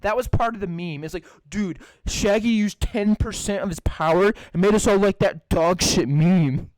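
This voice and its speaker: Raspy, stuffy-nosed man, crude and loud. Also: high voice, normal speed, no echo, rough recording. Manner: profane, laughing, voice cracks. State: stuffy nose. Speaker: podcast host. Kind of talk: crude casual chat.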